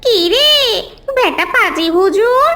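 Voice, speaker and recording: A woman's voice: extremely high pitch, lively intonation, slow, heavy background noise, full character voice. A woman voicing a menacing, vengeful ghost witch mother in a cartoon story. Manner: menacing, taunting, scolding. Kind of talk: Bengali cartoon story voice acting.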